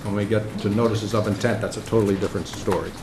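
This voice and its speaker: A man chairing a conservation commission meeting, speaking in a measured, polite, procedural way. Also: lowish voice, fairly even intonation. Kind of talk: public meeting discussion. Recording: noisy municipal TV broadcast.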